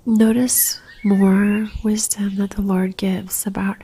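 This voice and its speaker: Woman, meditation guide, mellow near-whisper, faint fry, gentle, calm, level intonation, unhurried. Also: mid-range voice. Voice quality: soft, breathy, near-whispered.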